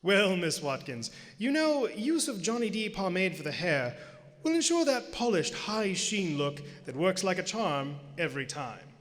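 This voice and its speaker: A man's voice: sits high, very animated, normal speed, a bit bright. Vintage radio announcer acted by a man: hearty, polished, emphatic, swooping delivery, music behind, echoing hall. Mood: hearty, salesmanlike. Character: hearty, theatrical old-time radio announcer and pitchman. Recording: live theater, long hall reverb.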